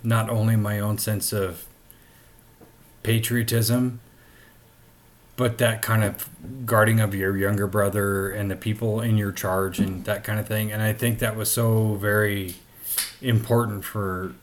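A man's voice: on the low side, flat delivery, unhurried. An American man in his late thirties, speaking frankly in laid-back casual chat. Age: 30s. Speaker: podcast participant. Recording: faint background noise.

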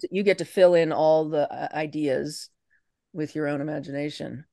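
A woman's voice: low-pitched, steady tone, moderate pace, gravelly, dark tone, no room sound. A woman speaks in a very dry, close recording with no room sound. She is a guest in a casual interview, explaining her craft step by step in a conversational way. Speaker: actress, podcast guest.

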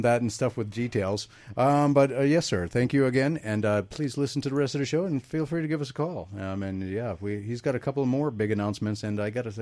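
A man's voice: fairly low pitch, a little mellow, no echo. A man hosting a live call-in radio show, joking and laughing often.

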